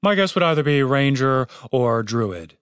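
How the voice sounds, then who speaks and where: A man's voice: mid-range voice, expressive, a little slow, fairly bright tone, clear, resonant tone, dry recording, studio quality. A man narrator voicing a panicky, exasperated young man who has become a fantasy bard, whiny and sweary in an audiobook. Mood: thoughtful.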